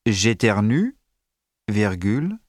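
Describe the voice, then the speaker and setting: A man reading a school dictation text slowly and deliberately, speaking the punctuation marks aloud. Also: fairly low pitch, sing-song, no room sound, studio quality. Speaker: dictation reader.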